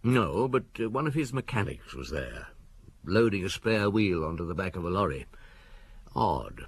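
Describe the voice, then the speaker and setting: An actor in an audio drama playing a shrewd, unhurried police chief with a husky voice and a terse, probing manner. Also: male voice, deep voice, very animated, exaggerated voice. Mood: wry, recounting.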